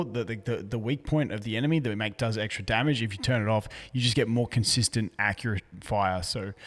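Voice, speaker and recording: Guy w a hoarse voice going thru game patch notes, easygoing, mid pitch, keeps restarting words. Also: a bit bright, in a large hall. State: hoarse, losing his voice. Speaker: gaming YouTuber. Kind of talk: casual game news commentary, reading patch notes.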